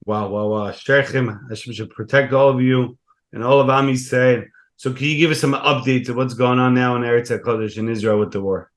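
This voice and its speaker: A man's voice: medium pitch, steady tone, brisk. Brief: male talk show host, formal welcomes, asks questions. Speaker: program host. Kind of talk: interview host.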